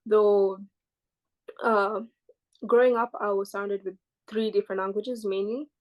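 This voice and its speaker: A woman's voice: mid-range voice, steady tone, unhurried, darkish tone, dull audio. A woman from Kenya with a British-sounding accent, a guest giving casual, rambling, self-deprecating interview answers. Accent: British-sounding English.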